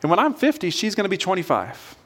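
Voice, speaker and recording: A man's voice: sits high, huge pitch swings, fast, fairly bright tone, breathy edge. A man in his forties preaching a sermon as a pastor in an informal, conversational storytelling manner. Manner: informal, self-questioning storytelling.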